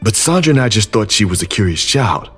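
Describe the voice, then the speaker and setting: A man's voice: lowish voice, very animated, brisk, a bit bright, slightly rough, faint music behind, exaggerated voice. An actor playing a protective, hesitant father in an audio drama, earnest and wary. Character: protective, hesitant father of a gifted small girl.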